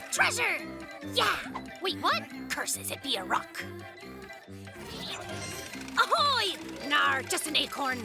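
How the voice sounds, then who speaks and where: Casting: feisty young cartoon fox, very high and wildly swooping, bossy would-be pirate, music underneath. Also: exaggerated voice. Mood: frustrated. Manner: excitable, boastful, mock-pirate growls and slang. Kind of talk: children's animated cartoon dialogue.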